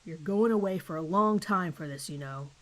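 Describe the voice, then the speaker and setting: A woman's voice: fairly low pitch, moderate pace, voice pushed down, lightly acted. Horror drama interrogator: hard-nosed male detective voiced by a woman, blunt, pressing, sardonic. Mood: accusing, forceful. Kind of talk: audio horror drama reading.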